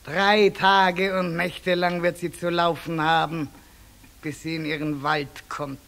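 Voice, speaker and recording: A woman playing a stern, thundering old chief witch in a children's radio play, imperious and deliberate, with a creaky, sharp voice. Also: on the low side, little pitch movement, heavily acted. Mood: stern, decisive.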